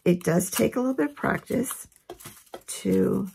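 A woman with a hoarse voice from a head cold, giving a relaxed, chatty craft tutorial at her work table. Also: mid-range voice, measured pace, slight background noise, rough recording. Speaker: craft instructor. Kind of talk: casual step-by-step tutorial.

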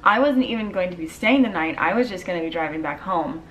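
A woman's voice: fairly low pitch, animated, fast, a bit bright, distant mic, a little hiss or hum, low-quality recording. Chatty young woman telling a story at home. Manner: chatty, lots of "like" and "um". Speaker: vlogger. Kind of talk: casual storytime vlog. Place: dim living room at home.

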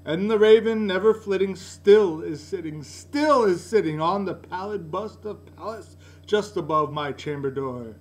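A man's voice: high voice, unhurried, few pauses. A middle-aged man giving a dramatic, theatrical recitation of a gothic poem in an indoor room. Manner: theatrical, swinging from hushed murmurs to loud cries. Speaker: poetry reader, library director. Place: indoor room by a curtained window. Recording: noisy room recording with steady hum.

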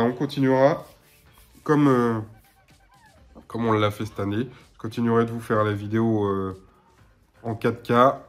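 A man in his thirties talks casually to a desk webcam in a small room at home, his nose blocked and his voice still a bit hoarse. He rambles along with plenty of filler sounds, at an ordinary mid pitch. Faint music sits quietly behind him. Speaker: gaming YouTuber. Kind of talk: casual to-camera chat.

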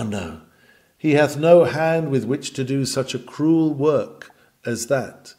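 A man reads a Victorian sermon with earnest, oratorical force. His fairly high voice swings widely in pitch, yet the tone stays mellow. He takes his time, leaning on key phrases with deliberate pauses. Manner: earnest, oratorical, with rhetorical questions and emphatic pauses. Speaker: sermon reader. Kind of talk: dramatic read-aloud of a Victorian sermon.

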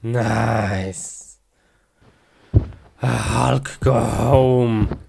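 Man doing a gravelly grunting brute. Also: mid-range voice, steady tone, very slow, heavy background noise, full character voice. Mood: angry. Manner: simple broken phrases, roars and grunts. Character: hulking angry brute man in broken English.

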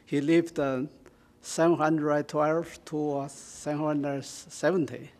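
A retired older man with a Chinese accent, halting and full of fillers, introducing and explaining a poem to a small audience. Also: fairly high pitch, little pitch movement, a little mellow. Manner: halting, with many fillers and restarts. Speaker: poetry reader. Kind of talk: informal reading and explanation at a community event. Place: small community room with an audience. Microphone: stand microphone.

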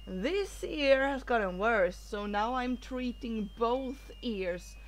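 A woman's voice: fairly high pitch, lively intonation, slow pace, rough recording. A woman streaming a game from home on a headset, chatting casually and thinking aloud. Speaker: streamer playing a visual novel. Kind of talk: casual let's-play chat. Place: room at home. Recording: game music in the background.

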